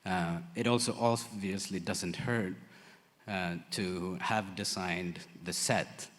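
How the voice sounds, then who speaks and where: Deep-voiced man in a reverberant hall. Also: steady tone, fairly bright tone. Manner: halting, many fillers and restarts. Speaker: lighting designer. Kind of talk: answering audience questions.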